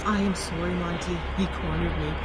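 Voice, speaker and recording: A woman's voice: on the low side, steady tone, normal speed, lightly acted. A woman playing a frightened young woman on the run, pleading in a panicked, breathless way. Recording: distant voice with heavy noise.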